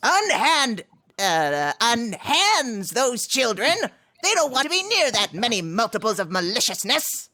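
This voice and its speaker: A woman's voice: a little high, big pitch swings, a little slow, bright, forceful tone, full character voice. A woman voicing a stern, taunting cartoon hero with declamatory, scolding delivery in a live comic dub. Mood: stern, commanding.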